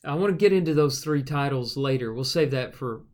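Measured man explaining scripture. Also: mid-range voice, lively intonation, a little mellow. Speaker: Bible study podcast host and teacher. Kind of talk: conversational Bible teaching with scripture reading.

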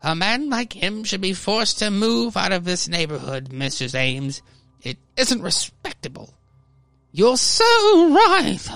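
A man's voice: sits high, big pitch swings, slow, a bit bright, no room sound, pitched above the performer's own voice, heavily acted. A man voicing prim, indignant neighborhood women gossiping in a radio play style reading of a comic. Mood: scandalized.

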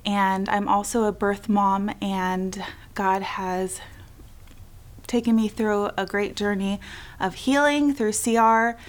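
A young woman whose first language is American Sign Language speaks in a recorded clip. Her voice sits in a middle range and sounds soft and heartfelt as she invites people to an event. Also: mid-range voice, steady tone, slow pace. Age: young adult. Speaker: missionary.

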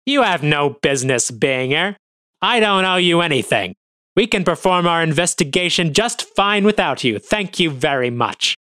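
A man's voice: fairly low pitch, expressive, a little fast, few pauses, pushed and bright, clear, resonant tone, no room sound, clean studio sound. A man voicing a scrawny, ferret-like police officer who barks and sneers in an audio drama. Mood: sneering hostile. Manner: barking, sneering.

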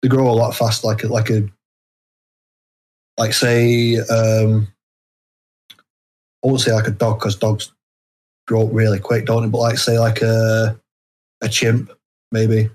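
A man chatting casually as an interview guest, speaking haltingly with hesitant, broken phrasing and repeated false starts. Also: lowish voice, monotone, a little mellow. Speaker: guest author.

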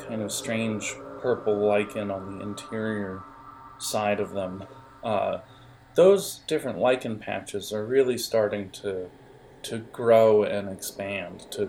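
A man narrating an improvised tabletop game as game master, in a halting, explanatory way with hesitations. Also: fairly low pitch, vocal fry, darkish tone, a little hiss or hum, rough recording.

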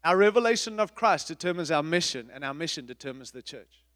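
High-voiced middle-aged man preaching. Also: expressive, fairly quick, long reverb tail. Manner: emphatic, repetitive preaching with swings in loudness. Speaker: pastor. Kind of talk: sermon. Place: church.

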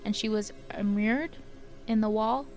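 A woman's voice: mid-range voice, a little slow, darkish tone. Casual podcast co-host, woman, from Canada, loose rambling storyteller. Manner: chatty, lots of likes and ums, laughs at own stumbles. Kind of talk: casual chat, telling legends.

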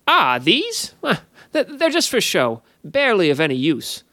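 Cheeky winged fey imp, raspy, high, sly, wheedling, storybook voice. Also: male voice, huge pitch swings, measured pace, bright, forceful tone, no echo, studio quality, heavily acted. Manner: wheedling, falsely apologetic. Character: sly impish little male winged fey creature.

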